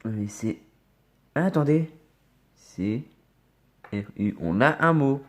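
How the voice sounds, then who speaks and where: A man's voice: medium pitch, lively intonation, takes it slowly. A man with a cold chatting casually to viewers as he scratches lottery tickets, often muttering and spelling out letters one at a time. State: has a cold. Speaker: scratch card vlogger.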